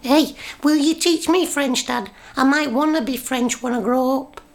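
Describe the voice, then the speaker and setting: A woman's voice: sits high, normal speed, creaky, pitched above the performer's own voice. A woman voicing an eager, cheeky young boy, nagging his parents with questions in a radio comedy sketch. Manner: chirpy, nagging, full of questions.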